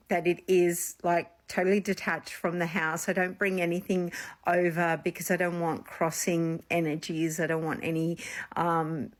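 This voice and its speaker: A woman, a psychic medium, chatting casually and rambling through a personal story on her vlog, with a slight sniffle after being ill. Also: mid-range voice. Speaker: psychic medium and channel host.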